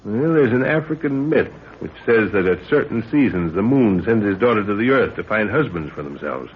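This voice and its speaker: An actor playing a steady, authoritative middle-aged expedition leader and father in a 1930s radio adventure, speaking formally. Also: lowish voice, normal speed, lightly acted. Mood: calm, leading. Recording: tinny, faintly hissy old radio recording.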